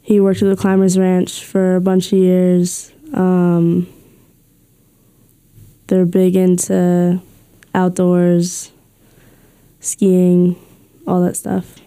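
Teen girl student: slow, monotone, hesitant, smooth dark tone. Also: fairly low pitch. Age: teens. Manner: hesitant, many pauses. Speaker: student interviewee.

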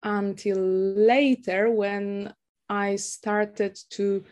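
Woman, young adult, from Poland, native Polish speaker, hesitant with many fillers, mid pitch. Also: little pitch movement, drawn-out words, darkish tone. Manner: hesitant, many fillers and restarts, laughs often. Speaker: guest, university lecturer. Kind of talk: casual interview conversation.

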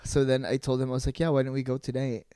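A podcast co-host telling a personal anecdote in casual, gossipy slang with quoted dialogue, giggly and excitable. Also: mid-range voice, fast, smooth, no room sound.

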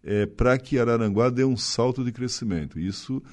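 A man's voice: medium pitch, normal speed, darkish tone. A middle-aged man from Santa Catarina with a slight gaúcho accent, speaking at length and earnestly as a city official in an interview, often ending with tag questions. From Santa Catarina, Brazil. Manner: long-winded, earnest, frequent tag questions. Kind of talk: conversational interview.

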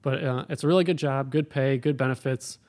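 A man's voice: mid-range voice, brisk, a little mellow. A man who works as an air traffic controller, answering interview questions in a plain, practical, explanatory way.

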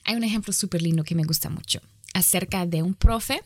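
A woman lecturing in a language that is not her first, halting and often correcting herself mid-sentence. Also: lowish voice, breathy edge. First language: not Spanish. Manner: halting, self-correcting, with frequent pauses. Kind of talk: online lecture.

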